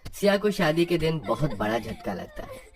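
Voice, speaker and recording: A man's voice: fairly high pitch, sing-song, moderate pace. A man narrating a scripted story as the narrator.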